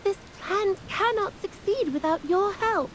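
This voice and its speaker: A woman's voice: very high voice, unhurried, slight background noise, poor audio. A woman voicing a playful, mischievous fairy in a video game, with a theatrical, teasing delivery. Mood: excited, scheming. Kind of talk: scripted game voice acting.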